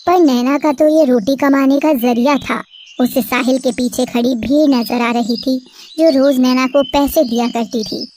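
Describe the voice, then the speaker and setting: A woman narrating an animated story in a steady storytelling cadence. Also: high-pitched, moderate pace, slight background noise.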